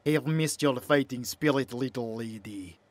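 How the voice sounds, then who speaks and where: A man's voice: mid-range voice, normal speed, close to an everyday voice. A man playing a sadistically amused, menacing enforcer in a horror audio drama, with stilted, taunting phrasing. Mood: sadistic amusement.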